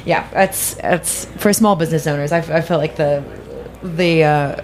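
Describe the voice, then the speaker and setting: A woman's voice: deep voice, fairly even intonation, normal speed. A woman co-hosting a casual show with wry, playful banter. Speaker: co-host.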